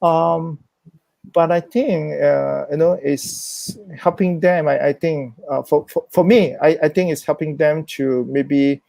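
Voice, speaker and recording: A man's voice: on the high side, steady tone, slow, gravelly, dark tone, dry recording. A man whose first language is Chinese, speaking English in a thoughtful, hesitant way with many restarts as an interview guest. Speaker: interview guest, social worker. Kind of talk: reflective conversational interview.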